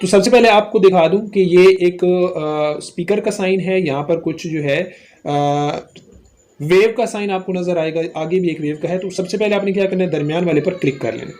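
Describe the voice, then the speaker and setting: Male tutorial host, Pakistani accent, high-pitched voice, walking viewers through app steps. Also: normal speed, a little mellow. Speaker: host of a tech tutorial channel. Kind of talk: scripted-style phone app tutorial narration.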